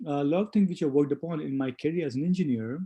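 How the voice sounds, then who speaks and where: A man in his forties who grew up in India and speaks English as a second language, reflecting slowly with many pauses and fillers as an interview guest. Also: fairly high pitch, warm tone, husky. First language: not English. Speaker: guest, spa owner. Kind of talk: casual interview conversation.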